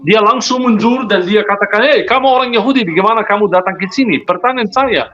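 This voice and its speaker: A man's voice: high voice, quick pace, fairly bright tone. Earnest man with muffled lo-fi sound. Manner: earnest, explanatory, rhetorical questions, mixes in English words. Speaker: guest speaker. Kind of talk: conversational religious discussion.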